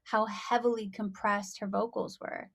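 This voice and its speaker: A young woman speaking as a guest in a casual interview. Her voice sits at a middle pitch, and she talks in a bubbly, easygoing way with lots of "like" and "you know." She comes across as an artist talking about her own work. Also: flat delivery, measured pace, creaky. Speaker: rapper and songwriter guest.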